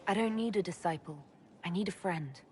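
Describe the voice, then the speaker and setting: An actress playing a steady, dry-witted heroic leader in a video game, speaking directly. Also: female voice, on the low side, brisk, slight background noise, lightly acted. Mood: dry, wary. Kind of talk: video game dialogue acting.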